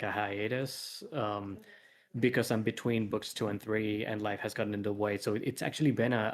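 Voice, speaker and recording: A man talking casually as a guest creator in an interview, thinking aloud and rambling a little as he explains. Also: fairly low pitch. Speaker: guest comic author and animation artist. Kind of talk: casual livestream interview talk.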